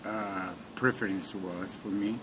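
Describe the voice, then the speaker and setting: Low-voiced Mexican man, brief halting answers. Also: fairly even intonation. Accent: Mexican Spanish. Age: 40s. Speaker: interviewee. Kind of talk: oral history interview. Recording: noisy recording with room hiss.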